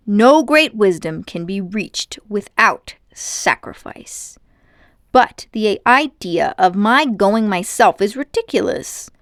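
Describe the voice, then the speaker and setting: A haughty older gentleman magician, acted out by a woman reader, vain and cruel, speaks in grandiose, indignant phrases. The storybook character sounds close and dry. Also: medium pitch, no room sound.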